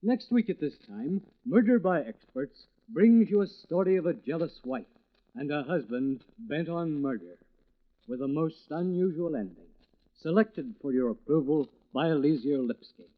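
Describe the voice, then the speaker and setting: A man presents a scripted radio mystery as its 1940s host. His pitch is a little high. The recording is narrow and lo-fi, like an old broadcast. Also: expressive, moderate pace.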